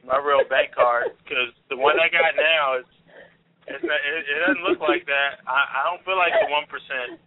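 A man's voice: a little high, normal speed. Joking man on a tinny phone line. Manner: joking, laid-back, slangy. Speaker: host. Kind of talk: casual call-in talk show chat.

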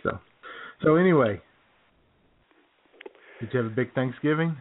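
A man's voice: mid-range voice, normal speed. An American man chatting casually as a talk show co-host, with an easygoing manner. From United States. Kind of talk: casual banter. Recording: thin phone-like audio.

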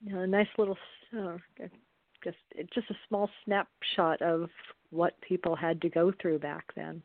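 A woman's voice: lowish voice, flat delivery, unhurried, no echo. A woman guest actress talking casually on a chat show. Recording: thin, dry phone-like line.

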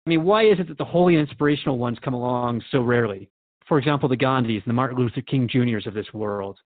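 A man's voice: medium pitch, quick pace, narrow, tinny audio, lightly acted. A man playing a curious, respectful radio host in a radio drama, interviewing in an inquisitive, conversational way. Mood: curious, earnest.

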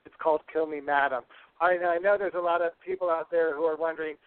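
Higher-voiced man hosting over a tinny line. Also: steady tone, quick pace, clean tone, no room sound. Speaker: podcast host. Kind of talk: casual live show chat, announcements. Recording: narrow, tinny phone-like broadcast line.

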